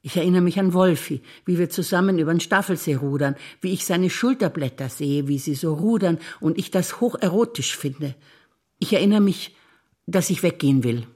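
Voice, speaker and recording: An older woman from Bavaria, a stage actor, reciting memories in a measured, reflective way. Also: fairly low pitch, expressive, mellow. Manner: measured, reflective, list-like delivery.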